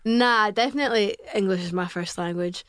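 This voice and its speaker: A Glaswegian woman with a mid-range voice chats as a podcast guest, spinning family stories in a lively, casual flow peppered with "like". She laughs often, and the sound is dry and close. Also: mid-range voice, a little fast, clean tone, no room sound. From Glasgow, Scotland. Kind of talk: casual interview chat.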